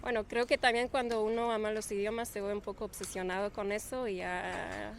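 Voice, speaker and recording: A woman's voice: medium pitch, a little fast, creaky, a little hiss or hum. Chatty woman, light laughs. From Quebec, Canada. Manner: relaxed, laughs often, hesitant fillers. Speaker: guest. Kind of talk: casual interview conversation.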